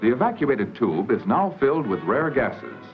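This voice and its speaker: American male narrator, 1940s educational film style, dull vintage soundtrack, formal scripted delivery, animated intonation, rough-edged voice. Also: mid-range voice, normal speed, soft background music. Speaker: documentary narrator. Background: old film soundtrack.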